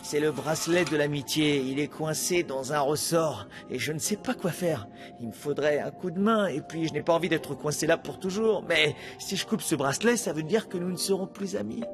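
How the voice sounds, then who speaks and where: Man voicing an excitable, high-pitched young cartoon cat. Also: normal speed, hardly any pauses, over music, natural voice. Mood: pondering. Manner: dramatic, stammering, overexcited. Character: excitable, hapless young male cartoon cat, fretful and goofy. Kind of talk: French cartoon dub.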